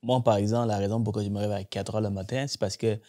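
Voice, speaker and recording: A man's voice: fairly low pitch, flat delivery, racing, mellow. A man from Sri Lanka hosting a casual podcast conversation, often repeating and rephrasing his phrases. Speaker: podcast host.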